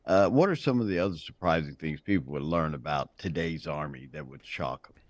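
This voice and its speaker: A man hosting a morning TV news show, speaking in a measured way with frequent fillers. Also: rumbling low, lively intonation, no room sound. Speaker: TV news host. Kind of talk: morning TV interview. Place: TV studio.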